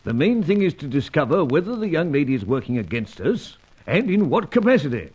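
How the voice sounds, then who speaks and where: An actor playing a suave, skeptical older British knight of the secret service in a radio serial, pompous and dryly sardonic, with a British accent. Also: male voice, fairly high pitch, sing-song, brisk, darkish tone, slightly rough. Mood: cautioning. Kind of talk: old-time radio adventure serial acting. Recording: dull, muffled old radio recording.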